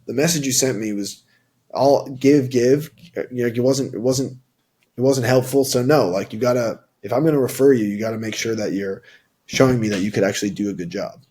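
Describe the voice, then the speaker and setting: A man's voice: medium pitch, brisk, dark tone, slightly rough. A young man working in tech sales, answering interview questions casually with lots of fillers, restarts and "like, you know.".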